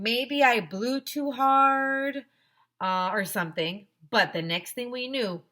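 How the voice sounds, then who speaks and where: A middle-aged woman reading a children's book aloud like a teacher, expressively and deliberately, with little asides. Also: mid-range voice, sharp tone. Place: small decorated home classroom, indoors. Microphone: headset microphone.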